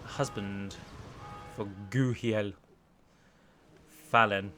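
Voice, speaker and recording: A man's voice: fairly low pitch, steady tone, very slow, bright, forceful tone, faint music behind. A man giving chatty, self-deprecating commentary as he plays and records a let's play on a close microphone. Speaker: gaming video host and mod developer.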